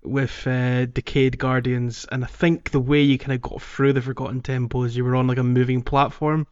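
A man co-hosting a podcast, chatting casually about a video game and often pausing to search for words. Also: medium pitch, flat delivery, darkish tone, smooth, muffled recording. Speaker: podcast co-host.

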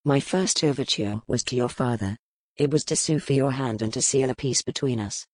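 A woman voicing a grim, powerful male duke, speaking low, measured and brooding in an audiobook. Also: darkish tone, no room sound. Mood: stern. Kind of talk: audiobook character voice.

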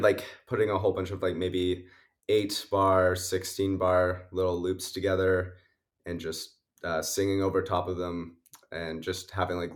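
A young man, a musician guest, answering interview questions casually, sniffly and rambling with many fillers. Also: sits low. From Canada. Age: young adult. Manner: rambling, many likes and uhs, laughs often.

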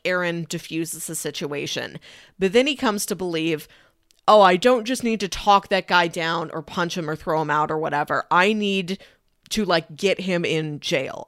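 A woman with a slightly low voice talks casually as a podcast co-host. She retells things in an animated, conversational way, slipping into imagined quotes. The sound is dry and free of room echo. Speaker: podcast co-host discussing a film. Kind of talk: casual film discussion.

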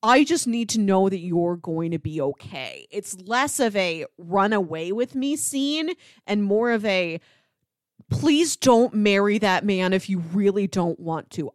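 A woman's voice: medium pitch, expressive, measured pace, no room sound, studio quality. A woman talking casually as a podcast co-host about film, explaining earnestly and peppering her talk with "like".

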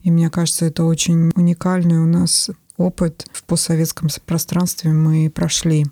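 A woman in her forties from Estonia, talking in a thoughtful, measured way with many pauses on a podcast. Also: sits low, monotone, mellow, no room sound. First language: Russian. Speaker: podcast guest. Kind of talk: reflective podcast conversation.

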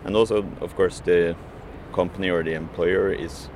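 A man's voice: low voice, steady tone, normal speed, background noise. A man who works as a food courier, answering interview questions hesitantly with many fillers and restarts.

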